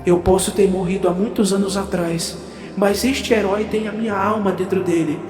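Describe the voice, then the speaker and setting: A man's voice: high-pitched, fairly even intonation, moderate pace, echoey room, music in the background, natural voice. A man playing a noble, devoted superhero in a declamatory, earnest audio drama style. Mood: tender, reassuring.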